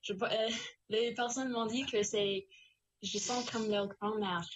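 A woman's voice: a little high, steady tone, slow pace, highs cut off, slight background noise. A young woman from New Brunswick with an Acadian accent, chatting as an interview guest in a giggly, hesitant way, searching for words. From New Brunswick, Canada. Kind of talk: casual chat.

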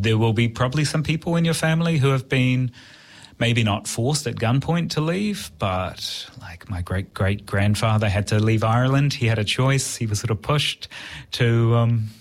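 A man's voice: medium pitch, moderate pace. A man with a New Zealand accent, an interviewed writer talking reflectively in a conversational style.

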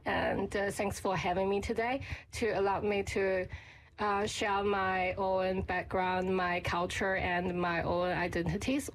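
A young woman from China with a Chinese accent, a radio interview guest who speaks English haltingly and searches for words. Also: mid-range voice, a little hiss or hum, low-quality recording. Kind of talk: interview answers. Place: radio studio.

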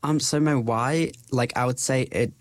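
A man's voice: mid-range voice, fairly even intonation, moderate pace, no room sound, clean studio sound. A young man with a New Zealand accent, a podcast guest talking earnestly and thinking aloud with many filler words. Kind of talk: casual podcast interview.

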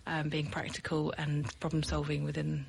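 A woman's voice: low-pitched, monotone, normal speed, creaky, husky. A middle-aged British woman from the UK, a teacher, chatting in an interview with lots of ums and frequent laughs. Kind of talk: podcast interview.

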